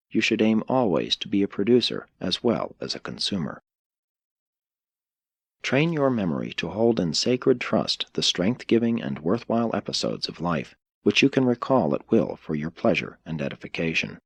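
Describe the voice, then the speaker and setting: A man reading a philosophical religious audiobook in a measured, solemn, formal way. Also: sits low, slightly rough, no room sound. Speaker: audiobook narrator. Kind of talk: scripted audiobook narration of a philosophical religious text.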